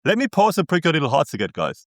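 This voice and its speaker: A man's voice: a little high, huge pitch swings, fast, dry recording, clean studio sound. Sarcastic German-accented man ranting. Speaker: commentator. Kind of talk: scripted commentary.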